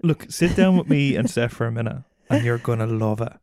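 An Irish podcast co-host chats casually with a mid-pitched voice in a dry recording. The talk is loose banter, full of teasing and swearing. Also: animated, fairly quick, a little mellow, no echo.

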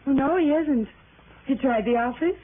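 A woman's voice: high voice, measured pace. An actress playing a talkative, evasive married woman in an American radio drama, with an American accent and a stammering, deflecting manner. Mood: chatty, breezy. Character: chatty, evasive married woman growing flustered under questioning. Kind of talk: mid-century American radio drama acting. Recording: noisy, narrow-band vintage radio recording.

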